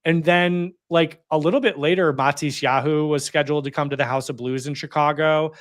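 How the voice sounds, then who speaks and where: A man's voice: on the high side, steady tone, no room sound, clean studio sound. A man in his thirties, a guest on an interview show, telling his story in a casual, conversational way and filling his talk with "like" and "you know". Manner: chatty, heavy use of "like" and "you know", quick laughs. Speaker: interview guest, community organizer.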